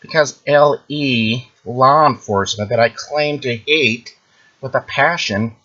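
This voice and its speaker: A man playing a smug, boastful internet ranter who claims to read minds, taunting the listener in a mocking sing-song and tagging lines with drawn-out "mm-hmm"s. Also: mid-range voice, pushed and bright, lo-fi sound. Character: smug boastful male internet ranter, self-proclaimed mind-reader. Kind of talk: mocking satirical monologue.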